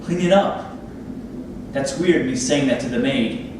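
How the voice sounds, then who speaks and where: A man's voice: medium pitch, unhurried, distant mic, natural voice. Overbearing surgeon, a man acting, wheedling and needy, stage reading in a very echoey, noisy room. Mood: irritated, bossy. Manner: pushy, wheedling. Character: needy, overbearing male plastic surgeon and boss. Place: theatre space, recorded from audience distance.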